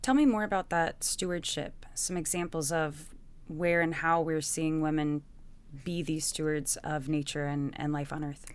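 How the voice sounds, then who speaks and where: A woman's voice: low-pitched, steady tone, moderate pace, clear, resonant tone. A woman hosting a podcast, speaking in a prepared, interviewer's style. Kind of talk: scripted podcast narration and interview questions.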